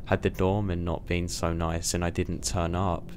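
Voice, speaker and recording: A young man narrating a scary first-person story in a steady, scripted read. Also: sits low, normal speed, a little hiss or hum.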